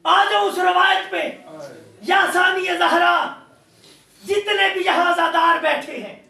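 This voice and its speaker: A man giving an impassioned mourning sermon, declaiming and shouting his lament to a gathering. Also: extremely high pitch, measured pace, creaky. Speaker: mourning reciter. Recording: echoey hall from far off, faint crowd.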